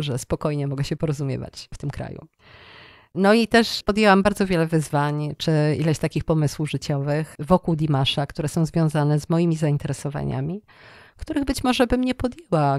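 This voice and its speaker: A woman's voice: deep voice, a little mellow, dry recording, clean studio sound. A middle-aged woman telling personal stories in a reflective, expansive way as an interview guest. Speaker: interview guest, storyteller and radio programme director. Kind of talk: conversational personal storytelling in an interview.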